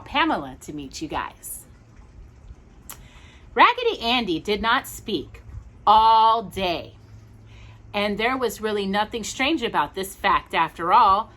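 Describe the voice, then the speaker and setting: A woman's voice: medium pitch, sharp tone. A woman hosting a storytime, reading a children's story aloud expressively with cooing asides to young listeners. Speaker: storytime host.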